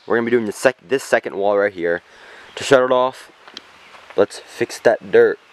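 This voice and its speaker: A man's voice: on the low side, normal speed. Young man narrating outdoors in woods. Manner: plain, matter-of-fact running commentary. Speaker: bushcraft vlogger. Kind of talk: casual narration while working. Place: outdoors in a forest. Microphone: camera mic on a tripod or handheld.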